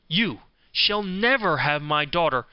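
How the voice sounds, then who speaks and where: A man's voice: on the high side, animated, measured pace, clean tone, no echo, lo-fi sound. A man voicing a stern, demanding storybook king with a commanding manner.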